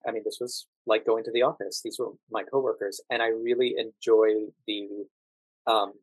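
An American man speaking as an interview guest, telling anecdotes conversationally with drawn-out emphasis on key words. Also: fairly low pitch, flat delivery, no room sound, low-quality recording. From United States. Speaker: podcast guest, actor working as a standardized patient.

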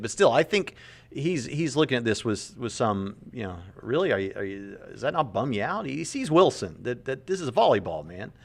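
A man in his late thirties, earnest and conversational, commenting on a podcast clip and often repeating words as he reasons aloud. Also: medium pitch. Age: 30s. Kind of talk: apologetics commentary.

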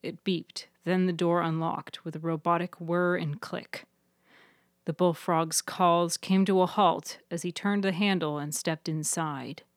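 A woman with a slightly low voice narrates a scripted story for a fiction podcast. Her phrasing is measured and clear, and the recording is dry and close. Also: steady tone, no echo.

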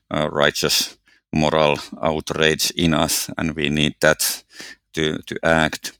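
A man from Finland co-hosting a podcast with a Finnish accent, speaking in a halting, thoughtful way that stumbles over words. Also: a bass voice, fairly bright tone, clean tone, dry recording, studio quality. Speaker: podcast co-host. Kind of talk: reflective podcast conversation.

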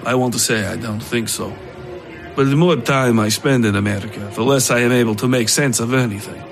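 A man's voice: mid-range voice, a little fast, gravelly, soft background music, lightly acted. A man playing a troubled, uncertain character in a horror story. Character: troubled, uncertain Russian man living in America. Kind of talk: horror audio fiction character voice.

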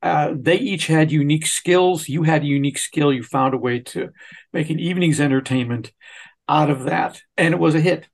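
Higher-pitched man with gravelly edge. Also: moderate pace. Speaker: podcast host and interviewer. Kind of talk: conversational interview.

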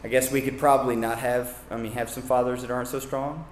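A man's voice: medium pitch, little pitch movement, fast talker, echoey room, slight background noise. A man from Kentucky preaching a sermon in a casual, conversational way, with asides and self-corrections.

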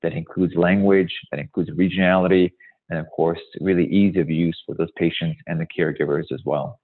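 A man's voice: low voice, fairly even intonation, a little fast. A man from Hungary presenting on a webinar call, speaking emphatically and often stressing that things are absolutely critical. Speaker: guest presenter, tech company executive. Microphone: video call. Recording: tinny phone-like call audio.

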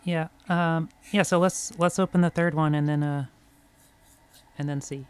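A man's voice: fairly high pitch, steady tone, measured pace, clear, resonant tone. A man playing a tabletop RPG, talking casually in a hesitant, thoughtful way. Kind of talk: casual game chat.

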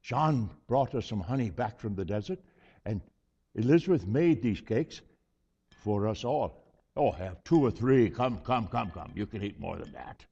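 A man's voice: fairly low pitch, animated, unhurried, vocal fry, mellow, husky, exaggerated voice. A man playing a hearty old priest host. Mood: warm, chatty. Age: older. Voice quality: chuckling, hearty and hospitable. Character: jovial elderly male priest hosting a friend. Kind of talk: dramatic monologue. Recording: reverberant hall, slightly dull sound.

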